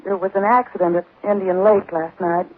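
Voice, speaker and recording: A woman's voice: medium pitch, steady tone, brisk, husky, natural voice. An actress playing a guarded, uneasy businesswoman, polite and hesitant, in 1940s radio drama style. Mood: subdued, uneasy. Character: uneasy, guarded businesswoman hiding her nerves. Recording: tinny, faintly noisy 1940s radio recording.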